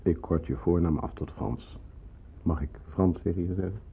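A calm resistance helper in a wartime radio play. His deep voice is reassuring, earnest and firm. It comes through a narrow, faintly noisy old recording. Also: normal speed, vocal fry. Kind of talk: Dutch radio play acting.

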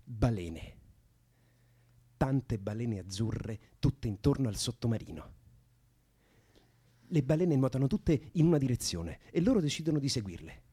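Radio drama: young man, imaginative, soothing and coaxing, very animated intonation, husky edge. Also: mid-range voice, unhurried, long pauses. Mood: playful, coaxing. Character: young man soothing a distressed woman with invented stories. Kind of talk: Italian radio drama acting.

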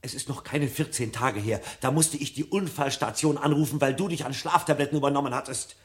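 A man acts out a brooding fireman husband with a secret love of forbidden books, in the manner of a 1970 German radio play. His mid-pitched male voice moves between musing and exasperation, always insistent. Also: a little fast, natural voice. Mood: exasperated, bitter. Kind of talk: 1970 German radio drama acting, with reading aloud.

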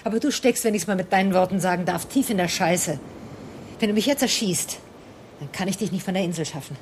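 A woman's voice: on the low side, brisk, natural voice. An actress playing a guilt-laden, defiant woman in a German radio drama, controlled, reasoning and sardonic. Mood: defiant, resigned. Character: guilt-laden, coolly defiant woman facing down an armed man. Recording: faint background noise.

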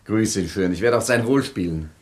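Actor as deep-voiced gangster husband. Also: male voice, fairly quick, close to an everyday voice. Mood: soothing, casual. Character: jailed gangster husband, casual and soothing. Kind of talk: satirical German radio crime comedy acting.

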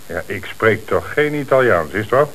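A man acting a stern, shrewd police chief with a Netherlands Dutch accent and brisk authority, in a radio play. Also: on the low side, expressive, gravelly, a bit bright, poor audio. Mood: stern, probing. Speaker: actor. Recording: hissy old radio recording.

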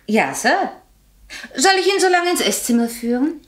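An actress playing a polite, deferential housemaid in a German radio crime drama, heard in a roomy, echoing space. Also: female voice, high-pitched, very animated, moderate pace, fairly bright tone. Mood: dutiful.

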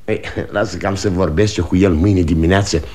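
A man's voice: low voice, fairly even intonation, darkish tone, close to an everyday voice. An actor playing an aging, proud and stubborn salesman father in a radio drama, rambling and repeating himself in agitation. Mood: musing, hopeful. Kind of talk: 1960 Romanian radio theatre acting.

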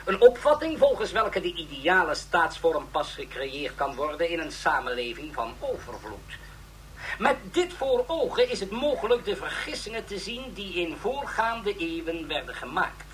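A man's voice: a little high, sing-song, moderate pace, fairly bright tone, slight background noise, low-quality recording. A man playing a grave, earnest old ideologue who lectures formally and solemnly in a radio drama. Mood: solemn lecturing.

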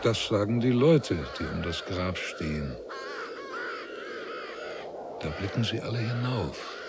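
A man acting as a pensive narrator in a literary radio drama, deliberate and questioning. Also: on the low side, animated, very noisy. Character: pensive male narrator weighing a dead man's story.

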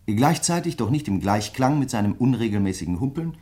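An actor playing a polite, insistent crime novelist in a German radio play, speaking in a measured, deliberate way. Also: male voice, medium pitch, animated, mellow, natural voice. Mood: measured, reading aloud. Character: courteous, insistent male crime novelist probing a fellow writer.

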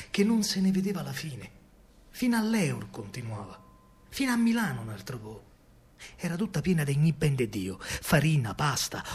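An excitable working-class Roman in a radio drama, recalling wartime scavenging. His husky voice swings widely up and down as he tells it in earthy street speech. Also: a little high, heavily acted. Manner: animated storytelling, colloquial. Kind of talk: theatrical monologue in dialect.

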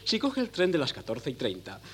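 An actor playing a polite, bookish, innocent young gentleman in a 1970s Spanish radio comedy, formal and courteous. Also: mid-range voice, wildly swooping pitch, brisk. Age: young adult. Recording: noisy, humming 1970s cassette.

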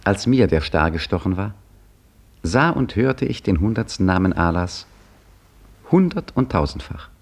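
Old storyteller caretaker, very low voice, dry, measured, wry, 1950s radio drama. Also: male voice, sing-song, creaky, heavily acted. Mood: weary wise. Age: older. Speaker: caretaker telling a tale.

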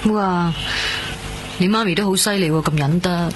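An actress with a Hong Kong accent playing a gentle, curious young woman in a radio drama. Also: fairly low pitch, normal speed, noisy background, lightly acted. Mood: curious, friendly. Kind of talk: radio drama dialogue and first-person narration. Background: prominent music.

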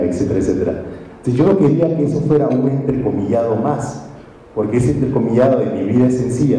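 A man with a low, mellow voice gives a reflective conference talk, stopping often to check in with his listeners. He is recorded from a distance in a large, reverberant hall. A faint room noise sits underneath. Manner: reflective, storytelling, frequent tag questions. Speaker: journalist and speaker. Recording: echoey hall from far off.

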